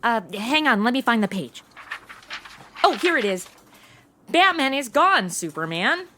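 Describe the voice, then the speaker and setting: Actress as snarky slangy young woman in radio comedy, bright and extremely animated. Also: a little high, measured pace, a little hiss or hum, exaggerated voice. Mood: flippant. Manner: sarcastic, flippant. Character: snarky modern young woman stuck in old radio shows. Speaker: star of an audio comedy. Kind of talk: comic audio drama, modern slangy banter.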